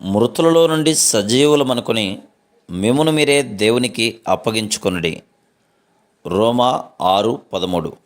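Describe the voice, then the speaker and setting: Man reading a solemn devotional. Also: medium pitch. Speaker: devotional reader. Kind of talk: scripted devotional narration.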